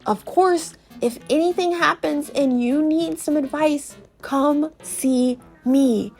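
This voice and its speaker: A woman's voice: sits high, takes it slowly, a little mellow, soft background music. A woman voicing a shriveled old male Goomba professor from a video game, explaining things in a fussy, cautious way. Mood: earnest, advising. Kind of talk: video game character read aloud.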